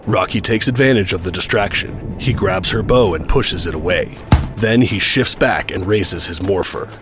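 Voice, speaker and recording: A man with a fairly low voice narrates an action audio drama from a script. Loud dramatic music plays behind him. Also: moderate pace. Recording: voice over loud trailer music.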